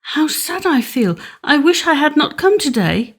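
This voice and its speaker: A woman's voice: sits high, very animated, a little slow, pitched above the performer's own voice, full character voice. A woman voicing an innocent, polite little girl in a storybook, sweet and full of naive wonder. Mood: uneasy.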